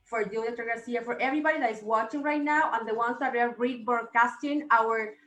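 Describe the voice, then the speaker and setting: A woman hosts a live online talk show, effusive and warm-hearted in her words. Her voice sits a little high, and it rings out in an echoey room. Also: fairly quick. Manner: effusive, religious blessings. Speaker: host.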